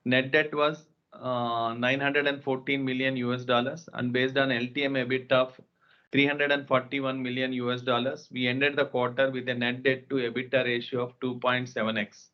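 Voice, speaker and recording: A man's voice: mid-range voice, little pitch movement, moderate pace. Man presenting formally on a dull conference call. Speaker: company finance executive presenting results. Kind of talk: scripted financial presentation on an earnings call. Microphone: conference call line.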